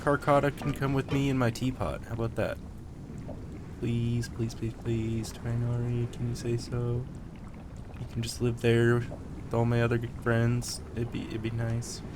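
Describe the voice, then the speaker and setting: A man's voice: medium pitch, completely flat delivery, a little slow, background noise. A man giving casual commentary on a game playthrough, muttering playful asides and talking back to the game. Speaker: gaming streamer. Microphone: own microphone over game audio. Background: game music.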